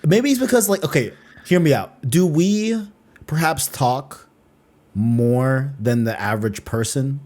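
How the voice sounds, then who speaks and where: A man's voice: mid-range voice, animated, a little slow, a little mellow. Rambling man hosting a chatty podcast. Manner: rambling, repeats "you know what I'm saying". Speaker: podcast host. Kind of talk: casual podcast chat.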